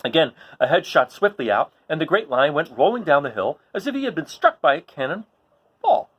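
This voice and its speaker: A man reads a storybook aloud as its host, with hugely animated pitch that sits fairly high. His voice is husky, and the recording is a little dull. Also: a little fast. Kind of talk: expressive children's story read-aloud.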